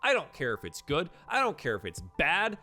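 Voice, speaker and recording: A man's voice: on the high side, huge pitch swings, moderate pace, bright, forceful tone, soft background music. A man hosting a casual livestream advice chat as a game master, speaking emphatically and repeating himself for stress. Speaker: host, professional dungeon master giving advice.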